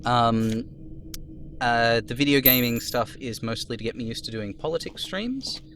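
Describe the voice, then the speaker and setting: A man's voice: medium pitch, lively intonation, a little slow, noisy background. A man live-streaming a video game, switching between reading out dialogue and casual commentary.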